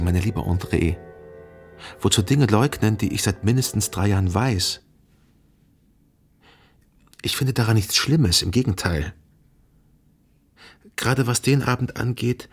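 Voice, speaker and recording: A brooding narrator in a literary radio drama. His voice sits low and has a husky grain. He speaks formally and introspectively, probing like a jealous lover in mourning. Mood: probing, insistent.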